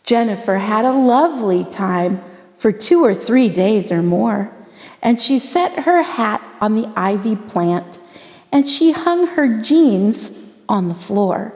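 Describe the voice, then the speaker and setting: A woman reading a rhyming children's story aloud in an expressive, theatrical way, drawing out words for emphasis. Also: mid-range voice. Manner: theatrical, deliberate phrasing with drawn-out emphasis for children. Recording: long hall-like reverb.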